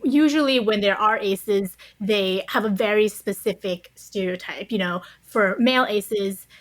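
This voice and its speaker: A woman's voice: medium pitch, measured pace, no room sound, rough recording. Woman podcast guest talking thru ideas, articulate n conversational, very dry recording. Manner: articulate, explanatory, often checks with "you know" and "right?". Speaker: podcast guest, author interviewed on a book. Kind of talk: conversational interview explanation.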